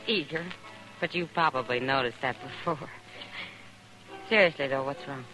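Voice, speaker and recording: Teasing saloon woman, wry, low-key, music playing under her. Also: normal speed, poor audio. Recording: old radio drama with music underneath.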